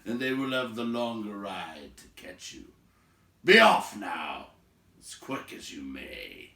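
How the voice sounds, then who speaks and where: A man voicing a gruff shape-shifting bear-man from a storybook, giving stern warnings in a slow, emphatic, commanding way. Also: on the low side, big pitch swings, pushed and bright, heavily acted. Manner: slow, emphatic, commanding delivery with drawn-out words. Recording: roomy, echoing home recording.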